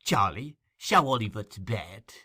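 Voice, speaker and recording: A man's voice: fairly low pitch, big pitch swings, a little slow, sharp tone, exaggerated voice. A man voicing a sly, cowardly old gang master in a storybook, with a wheedling, placating manner.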